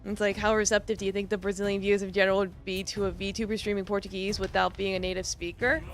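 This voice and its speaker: A woman's voice: medium pitch, little pitch movement, fast talker, faint music behind. A woman streaming a game, chatting casually with viewers in a giggly, rambling way full of fillers. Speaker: VTuber streamer. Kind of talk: casual live-stream chat while gaming.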